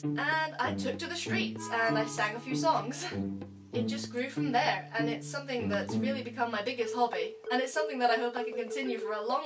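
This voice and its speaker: A woman's voice: a little high, far from the mic, poor audio. A young woman from Scotland, a YouTuber answering fan questions in a bubbly, playful vlog. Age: young adult. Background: loud background music.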